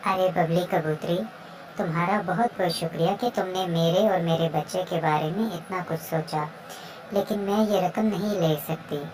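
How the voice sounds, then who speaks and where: Proud, polite, hardworking mother bird for a kids' cartoon, female actor, lower-leaning pitch, crowd noise underneath. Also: moderate pace, natural voice, low-quality recording. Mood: grateful, firm. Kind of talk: children's cartoon story dialogue.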